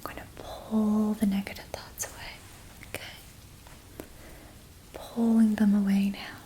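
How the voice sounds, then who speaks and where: A young woman whispering a gentle ASMR reiki relaxation in soft, slow, repetitive phrases. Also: medium pitch, flat delivery, low-quality recording. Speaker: ASMR creator.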